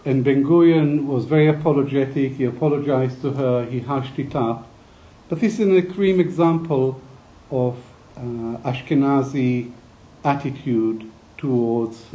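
An older man giving a reflective academic talk, speaking in a measured, deliberate way with hesitant pauses. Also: mid-range voice, dark tone, poor audio.

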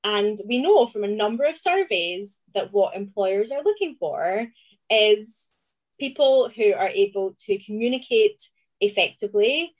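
A woman with a Glaswegian accent, speaking as a classics researcher in a chatty, animated interview and laughing often. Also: medium pitch, a little slow. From Scotland. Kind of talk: conversational podcast interview.

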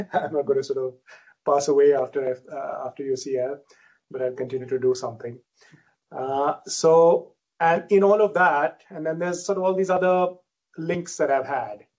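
Middle-aged male professor from India, husky mid-pitched voice, easygoing lecture delivery. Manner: relaxed, self-deprecating, many fillers. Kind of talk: academic lecture.

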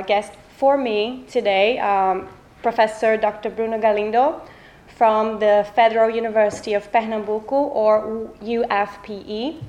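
A woman's voice: medium pitch, darkish tone. A woman from Brazil giving a semi-formal introduction of a guest speaker as a student chair.

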